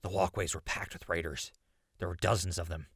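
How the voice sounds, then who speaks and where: Casting: male actor as captured wasteland radio host, low husky voice, urgent, plain-spoken and stubbornly hopeful, for audio drama. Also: steady tone, a little fast, fairly bright tone, no room sound, studio quality, full character voice. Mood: grim explaining. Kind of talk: post-apocalyptic audio drama acting.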